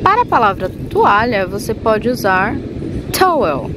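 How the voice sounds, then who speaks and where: A woman's voice: mid-range voice, sing-song, a little slow. A young Brazilian woman with a Brazilian accent, giving a casual bilingual vocabulary lesson outdoors on a beach, recorded on a phone at arm's length. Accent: Brazilian Portuguese. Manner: friendly, switches between Portuguese and English with fillers. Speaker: language teacher. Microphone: phone held at arm's length. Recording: loud outdoor wind and traffic noise.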